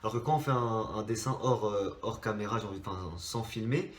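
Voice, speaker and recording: A man's voice: on the low side, fairly quick, reverberant. French man with a stuffy, blocked nose. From France. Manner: rambling, many hesitations and restarts. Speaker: drawing channel host. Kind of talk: casual chat to subscribers.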